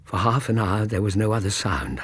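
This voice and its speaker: An actor playing an earnest Victorian British gentleman, a loyal companion narrating a radio drama in a measured, suspenseful, literary way with a husky voice. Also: on the low side, expressive. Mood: tense, suspenseful.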